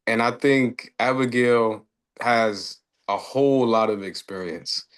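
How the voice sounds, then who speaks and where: A man on a networking video call, friendly and full of fillers, speeding up and slowing down. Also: mid-range voice, a bit bright. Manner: friendly, filler-heavy, uneven pace. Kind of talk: casual group chat.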